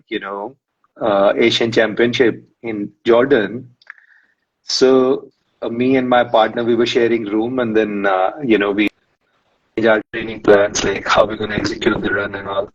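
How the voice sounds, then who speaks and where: A man's voice: medium pitch, fairly even intonation, normal speed. A man, a guest in a live-stream interview, telling his story in a rambling way with many fillers. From India. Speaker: guest, runner and psychologist. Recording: muffled lo-fi stream audio.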